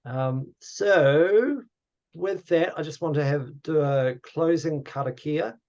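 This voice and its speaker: A man's voice: fairly high pitch, takes it slowly, a little mellow, muffled recording. A man with a New Zealand accent hosting an online workshop, informal and courteous, with some hesitancy. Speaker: workshop host. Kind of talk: informal facilitation and closing remarks. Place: online video call.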